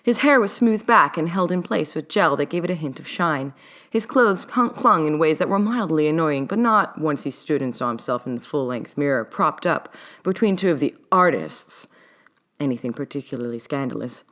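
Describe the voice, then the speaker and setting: A woman's voice: sits low, lively intonation, moderate pace, fairly bright tone. A woman author reading her own story aloud in an old-timey mid-Atlantic accent, in the style of an audiobook. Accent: mid-Atlantic, old-timey English-leaning. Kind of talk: audiobook-style narration of fan fiction.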